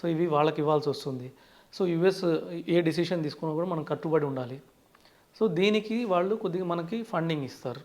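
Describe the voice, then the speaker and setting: Higher-pitched Telugu man explaining in an interview. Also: brisk, darkish tone. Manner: frequently opens sentences with "so". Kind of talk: explanatory interview talk mixing Telugu with English terms.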